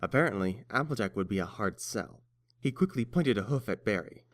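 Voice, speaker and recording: A man's voice: lowish voice, expressive, brisk. A man reading scripted story narration as the narrator.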